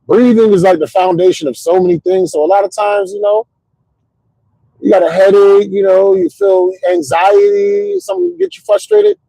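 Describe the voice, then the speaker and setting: A middle-aged man hosts a casual stream, swinging up and down through a high, mellow voice with a gravelly edge. He talks in a looping, motivational way, doubling back on his words and checking in with his listeners. The sound is close and dry. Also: moderate pace, dry recording, low-quality recording. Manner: animated motivational rambling, repeats words, says "you know what I'm saying". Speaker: host. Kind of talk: casual livestream talk.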